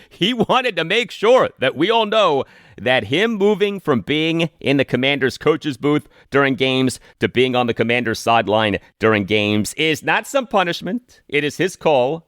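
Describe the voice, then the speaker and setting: A man hosting a sports podcast, speaking emphatically in commentary and reads, deliberately repeating his phrases. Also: medium pitch, a bit bright, no room sound, studio quality.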